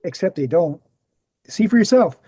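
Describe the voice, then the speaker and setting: A man playing an earnest researcher in a screenplay table read, explaining things in a measured, assured way. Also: high voice, expressive, warm tone, husky, dry recording, studio quality. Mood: assertive. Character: Gary, earnest male presenter, calm debunking researcher.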